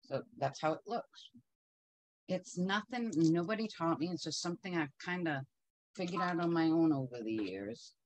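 A woman's voice: on the low side, animated, normal speed, dry recording, poor audio. A middle-aged woman teaching quilting in a casual, practical way, chatting as she pins and sews in her home sewing studio. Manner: chatty, practical, talks while working.

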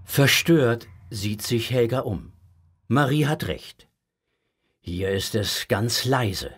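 A man's voice: mid-range voice, lively intonation, takes it slowly, a bit bright, husky. A man narrating a children's audio story with dramatic, scripted storytelling.

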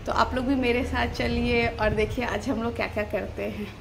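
Young woman food vlogger, bright chatty delivery, slightly high voice, light background hum. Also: fairly even intonation, moderate pace, creaky. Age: young adult. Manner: chatty, upbeat, addresses viewers directly. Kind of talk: casual vlog chat to camera. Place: street food stall outdoors and a room at home. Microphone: phone camera at arm's length.

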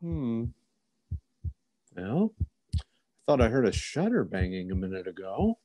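A man's voice: medium pitch, big pitch swings, measured pace, mellow, no room sound. A man playing a courteous, inquisitive police officer who muses and probes politely, in old-time radio theater style. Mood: curious. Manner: polite, musing, probing.

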